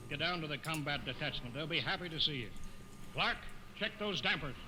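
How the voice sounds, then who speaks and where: A man's voice: on the high side, expressive, a little fast, natural voice. An actor in an old-time radio drama playing a weary, cynical veteran crewman. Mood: sardonic. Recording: old radio recording, heavy noise.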